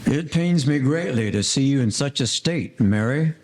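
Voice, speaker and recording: A man playing a lovestruck, halting 1940s tough guy in an old-time radio drama. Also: mid-range voice, lively intonation, slight background noise, natural voice. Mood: pained, tender. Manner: halting, stammering.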